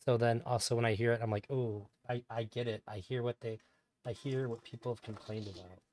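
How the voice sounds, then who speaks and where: A man casually commenting on an old stream. He calls his own voice higher-pitched, rambles, and swears now and then. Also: fairly even intonation, brisk, a little mellow, slight background noise.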